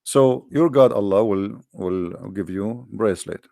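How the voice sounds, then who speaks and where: A man's voice: on the low side, unhurried, vocal fry, mellow, no echo, clean studio sound. A man hosting an argumentative debate, challenging and mocking his caller with repeated questions. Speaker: debate host.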